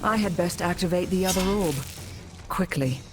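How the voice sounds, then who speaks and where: A woman voicing a determined, studious necromancer in a fantasy game, serious and measured as she muses aloud. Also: on the low side, over music, lightly acted. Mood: urgent. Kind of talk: fantasy game character acting.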